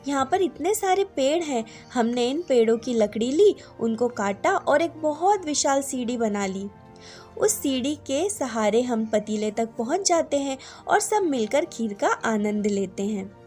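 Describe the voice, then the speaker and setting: A woman's voice: sits high, animated, measured pace, a little mellow, faint music behind. A woman storyteller voicing a contented, resourceful dweller of heaven, cheerfully explaining things.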